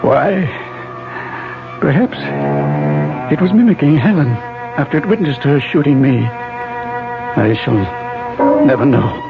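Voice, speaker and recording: Loud music swells behind a muffled old radio drama recording. A gravely wounded professor narrates in halting, dramatic phrases. His voice sits fairly high for a man. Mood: weary, halting. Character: gravely wounded male professor recounting a violent attack. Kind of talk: 1970s radio drama acting.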